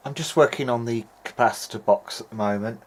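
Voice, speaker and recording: A man's voice: mid-range voice, brisk. A man giving informal workbench commentary as a hobbyist restorer, thinking aloud in a hesitant way. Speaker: hobbyist radio restorer, presenter. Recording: clear steady background noise.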